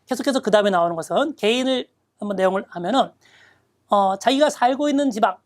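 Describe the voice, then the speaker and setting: A woman lecturing on exam reading questions, reading Japanese phrases slowly and then explaining them step by step. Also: mid-range voice. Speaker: Japanese reading test instructor.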